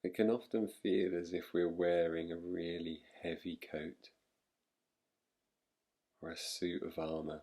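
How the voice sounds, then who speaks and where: A man guiding a relaxation meditation in a soothing, unhurried manner, leaving long pauses between short phrases. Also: low voice, flat delivery, mellow. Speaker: meditation guide. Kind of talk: guided relaxation meditation, yoga nidra.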